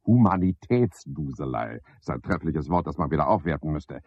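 An actor playing a garrulous, pompous, scheming porter in a radio play, wordy and self-important, speaking in rambling clipped phrases. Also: male voice, extremely low pitch, lively intonation, normal speed, darkish tone, breathy edge, no echo, exaggerated voice. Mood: brooding, self-righteous. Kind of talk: radio play monologue, theatrical. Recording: dull 1970s radio play recording.

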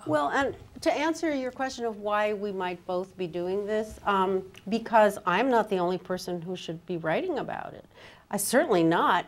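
An older woman speaks in a medium-pitched voice during a literary conversation on stage. She is casual and emphatic, repeating phrases as she makes her points as a writer. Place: on stage in an auditorium before an audience.

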